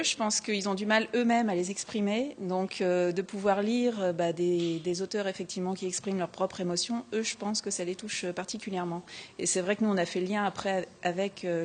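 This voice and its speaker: A woman's voice: mid-range voice, fairly even intonation, a little fast, a little hiss or hum. A woman teacher answering an interview question, speaking thoughtfully with fillers.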